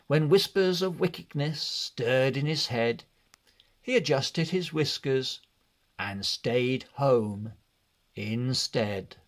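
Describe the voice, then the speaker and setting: A man narrating a children's read-along storybook in an expressive, deliberate way. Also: mid-range voice. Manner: expressive, deliberate, measured delivery.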